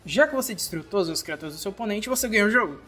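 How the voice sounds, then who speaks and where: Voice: mid-range voice, lively intonation, racing, a bit bright, faint music behind. Brazilian tutorial narrator with a mid-range voice. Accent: Brazilian Portuguese. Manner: instructive, addressing the learner directly. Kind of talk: scripted card game tutorial narration, fan dub.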